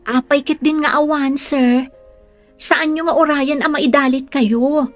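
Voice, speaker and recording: A gentle young caregiver in a radio serial. Her voice is high and she speaks respectfully and coaxingly, with music underneath. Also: steady tone, a little slow. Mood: pleading, encouraging. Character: gentle, patient, pleading young female caregiver. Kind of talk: radio drama acting.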